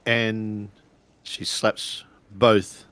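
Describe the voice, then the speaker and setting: A man playing a tabletop roleplaying game, improvising casually with halting phrasing. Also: on the low side, little pitch movement, a bit bright, smooth, no room sound.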